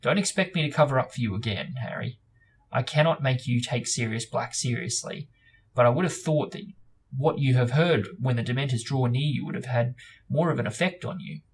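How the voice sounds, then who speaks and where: Grave, reproachful wizard teacher, read by a man, with creak. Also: medium pitch, brisk, far from the mic. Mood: stern disappointment. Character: stern, disappointed adult male wizard teacher rebuking a boy. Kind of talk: audiobook-like reading of novel dialogue.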